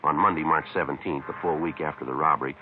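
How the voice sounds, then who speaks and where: On a noisy old 1950s radio recording, a terse police detective with a very deep voice. He is clipped, businesslike and deadpan, questioning and narrating with flat matter-of-fact economy. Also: fast, narrow, tinny audio.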